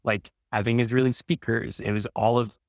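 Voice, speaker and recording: A man's voice: mid-range voice, level tone, brisk, no echo, clean studio sound. A man who talks as a podcast guest in a blunt, wry, matter-of-fact way. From Brooklyn, New York. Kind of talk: casual frank conversation.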